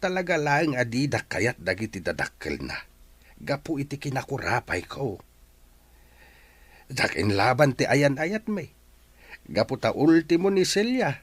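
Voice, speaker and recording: A man's voice: mid-range voice, big pitch swings, measured pace, pauses often, slightly rough, dry recording. An actor playing a poor, regretful middle-aged father in an Ilocano audio drama, pleading earnestly with his son. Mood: earnest, wounded.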